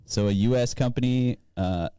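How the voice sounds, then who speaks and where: A man's voice: medium pitch, normal speed, dark tone, smooth, no echo, clean studio sound. A man co-hosting a podcast, chatting casually and reading out light news items. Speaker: podcast co-host.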